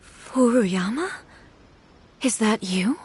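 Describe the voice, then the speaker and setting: A woman voicing a dignified, composed female shogun who speaks formally and with measured authority in an anime game dub. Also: fairly high pitch, animated, darkish tone, a little hiss or hum. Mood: concerned.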